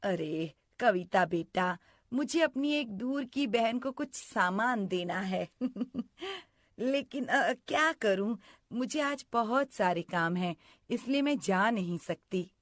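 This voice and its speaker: A woman playing a cruel, scheming stepmother in an animated story, scolding or putting on sweetness. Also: medium pitch, takes it slowly, no room sound, clean studio sound. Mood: falsely sweet. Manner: scolding, plotting, falsely sweet. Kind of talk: animated story character acting.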